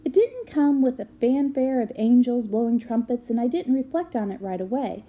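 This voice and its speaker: A woman reading a book aloud as an expressive narrator. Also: a little high, normal speed, highs cut off. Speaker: reader of a children's book aloud.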